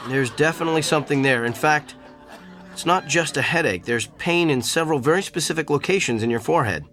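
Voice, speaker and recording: An actor playing a doctor with a gift for feeling pain, who turns arrogant, in an old-time radio drama. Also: male voice, on the high side, fast, soft background music, close to an everyday voice. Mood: clinical. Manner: calm and clinical, growing self-important.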